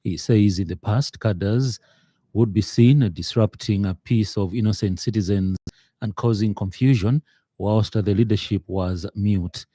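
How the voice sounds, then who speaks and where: Man, Zambian accent, newsreader, measured formal reading, very dark tone, dry booth. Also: on the low side, no room sound. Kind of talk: radio news bulletin. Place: radio studio.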